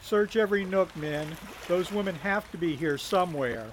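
Squad-leading lawman played by a man, high-pitched, clipped and commanding, radio serial over loud background noise. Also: moderate pace, close to an everyday voice. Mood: urgent commanding. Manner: barking, clipped orders. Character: commanding male federal agent leading a raid squad. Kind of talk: 1930s radio drama acting.

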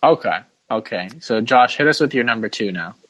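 Blunt man hosting a sports podcast, medium pitch. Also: animated, a little fast, a bit bright, low-quality recording. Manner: blunt, informal, questioning. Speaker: podcast host. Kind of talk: casual sports podcast chat.

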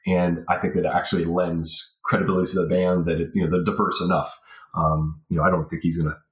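Deep voice dude on a podcast, rambly reflective chat, kinda echoey room sound. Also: male voice, level tone, quick pace. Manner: rambling, thinking aloud. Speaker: podcast guest.

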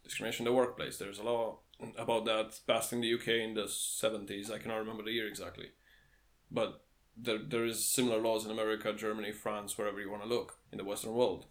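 Low-voiced man, dry and matter-of-fact. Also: level tone, fast. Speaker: podcast co-host. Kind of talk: casual podcast chat.

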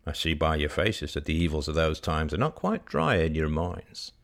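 A man voicing a blunt old rustic farmer who speaks in a folksy, deliberate way. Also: extremely low pitch, sing-song, gravelly, a bit bright, heavily acted. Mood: gruff persuasion.

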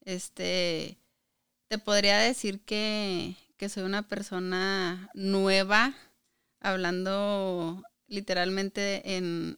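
A woman's voice: mid-range voice, gravelly, fairly bright tone, clear, resonant tone, dry recording, clean studio sound. A woman in her thirties or forties with a northern Mexican Spanish accent, chatting casually as a podcast guest. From Chihuahua, Mexico. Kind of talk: casual conversational interview.

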